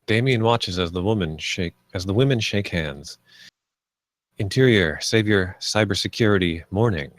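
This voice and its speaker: A man's voice: lowish voice, animated, normal speed. A man reading stage directions aloud as scripted narration.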